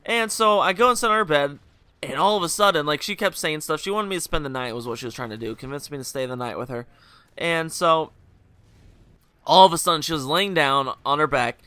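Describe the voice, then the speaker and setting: A young man hosting a gaming video, telling a rambling, joking story over gameplay while hungover, his voice a little rougher and lower than usual. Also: animated, fairly quick, bright, forceful tone, dry recording. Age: young adult. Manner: rambling, joking, full of fillers and asides.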